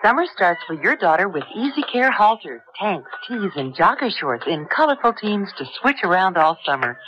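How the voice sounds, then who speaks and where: A woman's voice: medium pitch, sing-song, moderate pace, a little hiss or hum. Woman reading a dull-sounding old radio ad. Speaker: commercial announcer. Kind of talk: 1970s radio advertising read.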